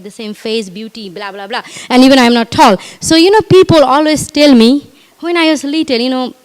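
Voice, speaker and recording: Earnest Bangladeshi woman in a reverberant hall. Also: a little high. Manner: earnest, repetitive, halting, addresses audience directly. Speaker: guest speaker. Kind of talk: personal speech at a community event. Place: community hall.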